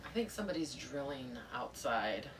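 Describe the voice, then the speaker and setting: Low-voiced woman, slightly distant mic. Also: sing-song, normal speed. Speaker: podcast co-host. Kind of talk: casual book club chat. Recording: distant mic with faint noise.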